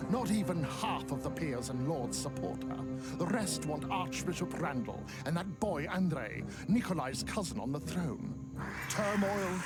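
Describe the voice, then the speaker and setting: A man playing a sly, mock-polite old necromancer in a video game cutscene, speaking in a theatrical, insinuating way. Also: a little high. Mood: mocking glee. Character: sly old necromancer, smooth-talking, mockingly gleeful schemer. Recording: music behind the voice.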